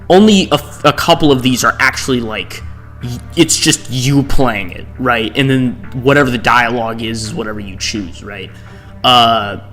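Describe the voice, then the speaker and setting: A man's voice: medium pitch, fairly bright tone. A man giving casual, unscripted commentary as a video host, rambling off on digressions and often checking in with a questioning tag. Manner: rambling, digressive, often tagging statements with "right?". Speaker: host of tier-list videos.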